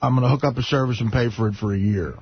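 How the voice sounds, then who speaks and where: A man's voice: mid-range voice, rapid-fire. A man chatting casually on a radio show. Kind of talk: casual chat. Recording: lo-fi radio with faint noise.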